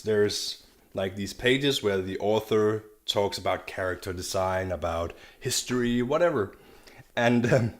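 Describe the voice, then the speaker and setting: A low-voiced man talks through a casual manga review. He sounds enthusiastic and rambles, often restarting his sentences. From Denmark. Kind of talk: casual video review monologue.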